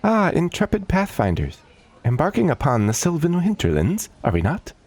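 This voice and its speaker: Man putting on an excitable high-pitched woman scientist. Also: moderate pace, breathy edge, exaggerated voice. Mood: excited, eager. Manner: pompous, verbose, energetic. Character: wiry, excitable, eccentric woman scientist and alchemist. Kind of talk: scripted fantasy storytelling character voice.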